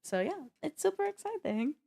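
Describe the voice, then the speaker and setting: A woman's voice: high-pitched, sing-song, brisk, vocal fry, warm tone. Female co-host, game streamer. Informal, chatty presenting with a joking, conversational delivery. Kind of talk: casual chatty show presenting.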